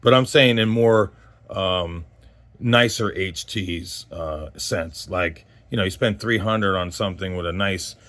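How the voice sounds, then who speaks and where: Guy in his 40s, kinda low voice, casual rambling review, loses his thread mid sentence. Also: measured pace, a bit bright. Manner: rambling, conversational, trailing off mid-thought. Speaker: host of a radio gear review channel. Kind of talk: casual unscripted product review chat.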